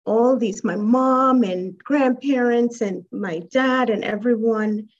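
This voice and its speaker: A woman's voice: a little high, a little hiss or hum. A woman from Trinidad and Tobago, a guest telling her life story in an interview, reflective and hesitant, over muffled call audio. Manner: reflective, unhurried, with soft laughs and hesitations.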